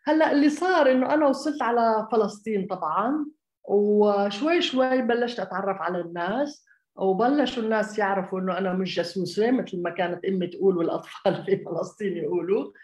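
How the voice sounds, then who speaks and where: A woman's voice: mid-range voice, fast, a little mellow. A woman raised in Jordan, an architect giving a conversational public talk, hesitant, with many fillers and restarts. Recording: echoey room.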